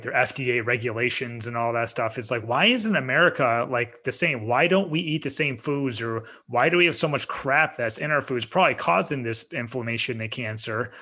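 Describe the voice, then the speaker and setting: A man hosts a casual podcast in a bright, forceful voice. He is chatty and agreeable, often chiming in with short words of agreement. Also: medium pitch. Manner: agreeable, chatty, frequent backchannels. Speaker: podcast host.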